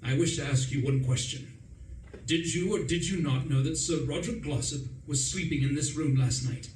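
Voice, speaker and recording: A man playing an excitable upper-class gentleman who narrates a radio play in a breezy, self-dramatizing way. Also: a little high, moderate pace, natural voice. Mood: indignant. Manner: breezy, self-dramatizing, indignant when crossed. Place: live performance in a room, distant mic. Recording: roomy, distant, faint noise.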